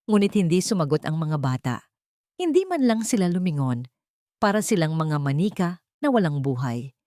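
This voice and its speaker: A woman narrator with a Filipino accent tells a dramatic love story. Her voice sits fairly low, recorded close and dry, and she reads the script in a solemn, measured way. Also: very animated, dark tone, no room sound.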